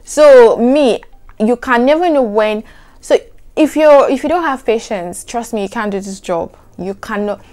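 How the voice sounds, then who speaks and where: A young adult woman with a soft, soothing voice, chatting to camera in an animated, emphatic way. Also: on the high side, unhurried. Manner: animated, emphatic, repeats "trust me". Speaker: vlogger. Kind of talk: casual chat to camera.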